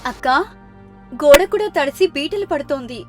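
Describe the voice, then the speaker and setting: A woman acts out a fearful younger sister from a moral tale. Her voice is high and urgent, pleading with worry. Music plays behind her. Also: expressive, unhurried. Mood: worried. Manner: worried, urgent. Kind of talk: moral story character dialogue.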